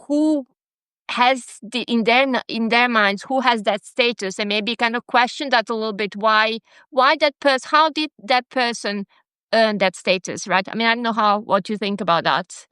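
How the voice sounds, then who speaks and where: A woman hosts an interview in a fairly high, smooth, resonant voice. She hedges and gropes for words, filling gaps with fillers. The sound is dry and close. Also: fairly bright tone, no echo. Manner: hesitant, hedging, many fillers. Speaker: podcast host. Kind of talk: conversational interview.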